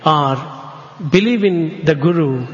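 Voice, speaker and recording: A man from India with an Indian English accent, a native Telugu speaker, giving a measured devotional talk at a spiritual gathering. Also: a little high. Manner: slow, measured, drawn-out phrases. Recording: echoey hall, dull lo-fi sound.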